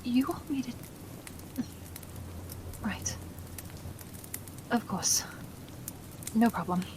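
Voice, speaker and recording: A woman acts out a genteel young Victorian wife tending a guest in an ASMR roleplay. Her voice is on the high side, caring and soothing, with polite old-fashioned phrasing. Faint noise sits behind her. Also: very slow.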